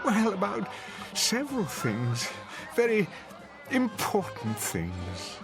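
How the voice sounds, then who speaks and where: A bewildered older husband in an audio drama. He speaks slowly and earnestly, with pleading swings of pitch. Music plays behind him. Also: huge pitch swings, full character voice.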